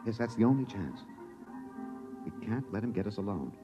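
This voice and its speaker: An actor playing a tense, hunted husband on the run in a 1950s radio drama, urgent and protective. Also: male voice, lowish voice, sing-song, normal speed, music in the background. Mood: grim, anxious. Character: tense, hunted husband, a fugitive time traveler.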